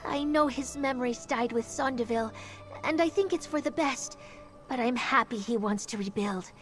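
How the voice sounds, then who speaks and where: Female actor: earnest, affectionate young fantasy game woman, high register. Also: normal speed, a bit bright, soft background music, lightly acted. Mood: happy, teasing. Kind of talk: scripted fantasy game voice acting.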